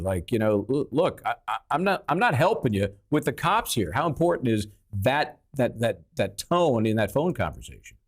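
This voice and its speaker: A man hosting a live legal panel, putting questions to analysts in a halting, emphatic way that often repeats words. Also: mid-range voice. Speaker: host of a legal discussion show.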